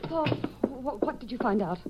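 An actress playing the bitter, accusing wife of a missing man in a 1950s radio drama, with a sharp, dramatic, cold delivery. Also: fairly high pitch, animated, unhurried, heavy background noise. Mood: anxious. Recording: dull 1950s radio recording.

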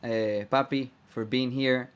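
A man hosting a podcast, chatting casually and warmly echoing his guest's words back. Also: medium pitch, fairly even intonation, takes it slowly, clean tone, highs cut off. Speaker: podcast host. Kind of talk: casual interview chat.

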